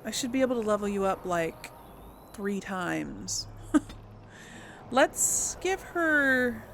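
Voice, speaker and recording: A woman's voice: mid-range voice, sing-song, slow pace, creaky, fairly bright tone, slight background noise. A woman giving casual let's play commentary, chatting and thinking aloud as she goes. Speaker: gaming channel host.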